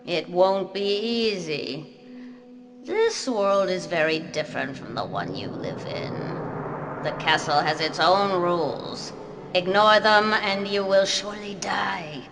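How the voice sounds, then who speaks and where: An actress playing a bitter, weary, imperious banished woman in a video game cutscene, speaking theatrically over loud music. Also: fairly low pitch, expressive, background noise. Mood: ominous. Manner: theatrical, slow and commanding.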